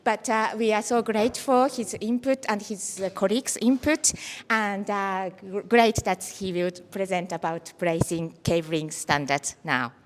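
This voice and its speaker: A woman chairing a conference session, giving semi-formal introductions in a halting way as she searches for words. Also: mid-range voice. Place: large echoey indoor hall. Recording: echoey hall with long reverb.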